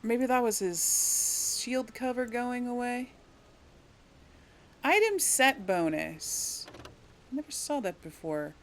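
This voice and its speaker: A woman's voice: on the high side, animated, slow, pauses often, slight background noise. A woman giving casual let's play commentary and role-play, musing and thinking aloud as she goes. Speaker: gamer, let's play host.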